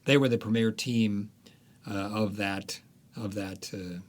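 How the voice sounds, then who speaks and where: A man with a low voice tells stories as a guest author in an interview. He speaks in a loose, conversational way, pausing on fillers and restarting his sentences as he goes. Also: steady tone. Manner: unhurried storytelling with many fillers and restarts.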